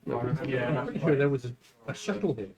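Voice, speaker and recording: A man's voice: medium pitch, expressive, quick pace, a crowd in the background. A man chatting casually as a player in a tabletop game. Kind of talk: casual chat.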